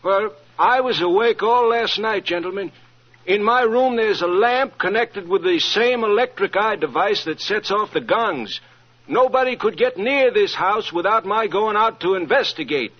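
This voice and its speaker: A man playing an earnest, excitable witness in an old-time radio mystery. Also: sits high, moderate pace. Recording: muffled vintage radio recording.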